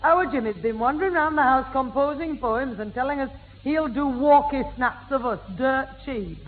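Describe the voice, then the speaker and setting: A woman playing a brisk, chiding housewife mother who keeps the peace in a 1960s radio sitcom. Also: high-pitched, slight background noise, natural voice. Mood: exasperated. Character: harried, scolding housewife mother keeping the peace. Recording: old tinny 1960s radio broadcast.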